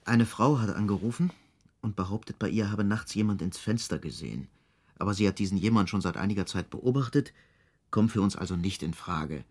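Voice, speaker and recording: A man with a slightly low voice , an actor as a police officer under a chief. He reports in a matter-of-fact, unadorned way, in radio drama style. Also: fairly quick, a little mellow, lightly acted. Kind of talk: German radio drama acting.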